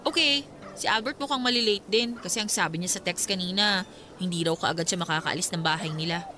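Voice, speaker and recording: A woman's voice: on the low side, quick pace, bright, forceful tone, a little hiss or hum. A woman playing a young college student in a Tagalog radio drama, firm and exasperated with her ex. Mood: casual, patient. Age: young adult. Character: young female college student, fed up with controlling ex.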